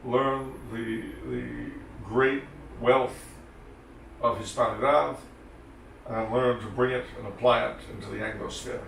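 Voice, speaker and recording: A man's voice: medium pitch, expressive, a little slow, creaky, a bit bright. A man whose first language is French, giving dry, sarcastic, wide-ranging answers as an interview guest. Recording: echoey room, distant mic, faint noise.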